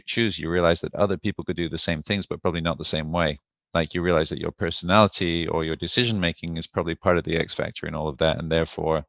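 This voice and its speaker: Deep-voiced British podcast host, dry dull sound. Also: male voice, gravelly, fairly bright tone, no room sound. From United Kingdom. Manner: thoughtful, hesitant with "uh" fillers. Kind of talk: conversational interview.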